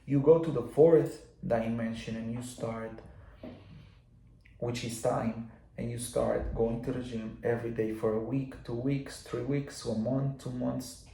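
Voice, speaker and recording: Man with a gravelly voice. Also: mid-range voice, steady tone, a little slow, mellow, echoey room, a little hiss or hum, rough recording. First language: Spanish. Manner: loose and repetitive, many fillers and restarts. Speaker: teacher of spiritual laws. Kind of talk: casual explanatory talk.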